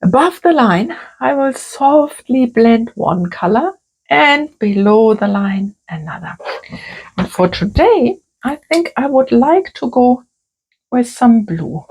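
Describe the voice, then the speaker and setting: A woman working as a creative therapist, talking gently and softly through a calm crafting tutorial. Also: on the high side, husky, rough recording. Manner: gentle, soft-spoken, unhurried.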